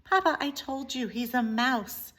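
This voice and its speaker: A woman's voice: high voice, a little slow, a bit bright, voice pushed up. A woman voicing an eager little princess in a children's storybook, pleading and emphatic. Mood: insistent.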